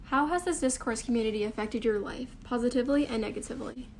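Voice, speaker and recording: Young female student presenter, native Cantonese speaker, rapid scripted delivery with vocal fry. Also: fairly high pitch, slight background noise. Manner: scripted, polite questioning.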